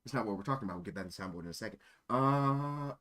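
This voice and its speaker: A man's voice: fairly low pitch, expressive, fast talker, a bit bright. A man streaming casual chat in a rambling, easily sidetracked way. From born in Germany. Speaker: live streamer.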